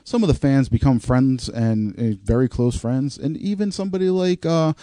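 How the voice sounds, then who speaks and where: Dude on a podcast, mellow warm tone, dry close sound, joking around and swearing a bit. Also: male voice, medium pitch, moderate pace, dry recording. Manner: loose and joking, swears casually. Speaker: podcast co-host. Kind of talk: casual podcast chat.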